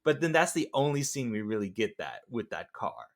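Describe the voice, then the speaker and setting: Mid-pitched man riffing on a movie. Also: big pitch swings, fairly quick, vocal fry. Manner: joking, riffing. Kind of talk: casual film discussion.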